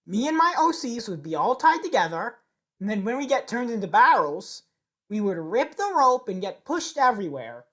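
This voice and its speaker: A woman's voice: a little high, sing-song, moderate pace. A woman reading scripted lines aloud in a declamatory way, with sing-song emphasis on her phrases. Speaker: amateur animator recording voice lines.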